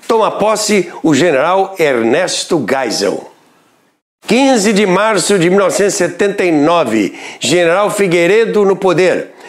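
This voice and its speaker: A man hosts a radio history program in scripted documentary narration. His voice is high and moves a lot in pitch. He tells the story at a measured pace, like a storyteller. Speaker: radio history podcast host.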